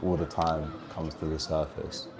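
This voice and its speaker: A man's voice: extremely low pitch, flat delivery, a little slow, noisy background. A twenty-year-old man from Jordan and the UK gives earnest, heartfelt, reflective personal testimony. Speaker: documentary subject telling his family's story.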